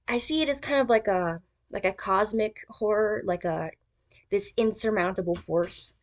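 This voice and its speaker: Slow-paced woman explaining a theory. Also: medium pitch. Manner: thinking aloud, tentative, informal. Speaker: video essayist. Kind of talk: casual theory commentary.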